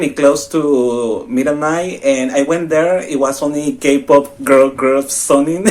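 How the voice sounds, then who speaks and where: A young man from Mexico chatting on a podcast, telling stories in an animated way and laughing often. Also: fairly high pitch. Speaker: podcast co-host. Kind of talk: casual chat.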